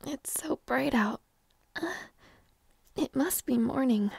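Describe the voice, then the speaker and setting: A woman voicing a shy, flustered young princess who talks softly and hesitantly, often in a whisper and with stammers. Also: sits high, slow pace, creaky, husky, no echo, full character voice. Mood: drowsy, wistful. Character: shy, flustered young princess waking beside her knight. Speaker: audio roleplay performer. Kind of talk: intimate audio roleplay.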